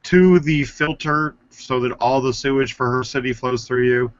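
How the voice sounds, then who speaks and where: A man's voice: medium pitch, fairly even intonation, normal speed, dry recording. A man co-hosting a casual live stream chat, throwing in dry jokey asides. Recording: dry lo-fi online call audio.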